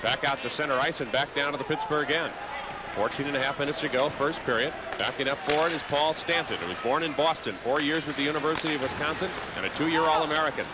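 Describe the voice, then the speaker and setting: A man's voice: on the high side, fast talker. A man doing live play-by-play hockey commentary, describing the action in a fluent running call. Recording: loud arena noise behind. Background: loud hockey arena ambience.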